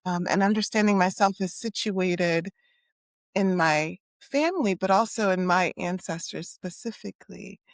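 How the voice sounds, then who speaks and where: A woman whose first language was Haitian Creole, a psychologist speaking in a thoughtful, measured way. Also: lowish voice, no room sound, studio quality. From United States (New York and Miami). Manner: thoughtful, measured, with soft fillers. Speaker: guest, clinical and community psychologist. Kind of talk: reflective conversational interview.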